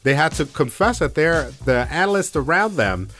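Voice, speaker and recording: A man's voice: on the high side, sing-song, normal speed, a bit bright, a little hiss or hum. A man co-hosting a radio show, explaining news step by step and putting rhetorical questions to the listeners. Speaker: radio co-host. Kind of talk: music business news commentary on a radio show.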